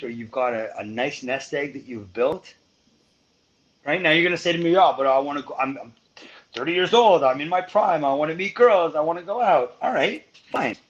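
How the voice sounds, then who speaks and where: This is a man on a casual podcast with a voice that sits a little above the usual male range. He explains his points emphatically, stretching out the words he wants to land. He keeps returning to the listener with a quick "right?". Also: on the high side, muffled recording. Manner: emphatic and explanatory, often slowing to stress points. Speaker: podcast guest giving money advice.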